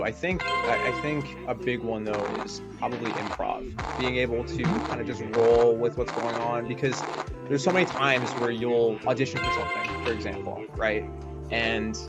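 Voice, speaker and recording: A man talks casually as an interview guest with a voice acting background. His delivery is conversational, often punctuated with little tag questions, and loud music plays behind him. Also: medium pitch, low-quality recording. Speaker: voice actor guest.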